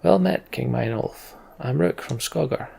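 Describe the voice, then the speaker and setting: A man's voice: medium pitch, expressive, measured pace, gravelly, darkish tone, slight background noise. A man voicing an earnest, plain-spoken clan chieftain in a fantasy game. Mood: firm, earnest.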